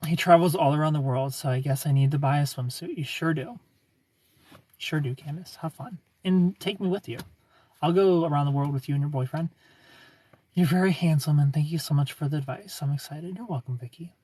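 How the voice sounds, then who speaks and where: A man in his thirties hosting a casual livestream, reading viewer comments and greeting them by name in a smooth, laid-back way. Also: a little high, a little fast, mellow, dry recording. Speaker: livestream host.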